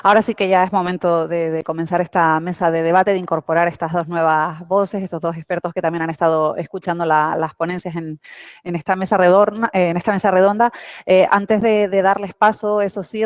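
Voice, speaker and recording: A woman's voice: lowish voice, no echo, clean studio sound. A woman moderating a conference panel, polite and formal, with some restarts.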